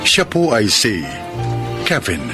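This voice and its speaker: A man hosting a radio drama, announcing from a script in a formal, solemn, deliberate manner. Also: lowish voice, wildly swooping pitch. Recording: dull lo-fi recording over loud music.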